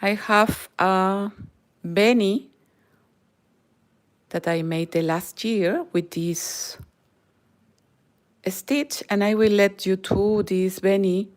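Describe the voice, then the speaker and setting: A woman's voice: medium pitch, very slow, pauses often. A middle-aged Peruvian woman with a Spanish accent, a native Spanish speaker, giving a live crochet tutorial in careful non-native English that often drops to a near whisper. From Peru. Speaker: crochet channel host.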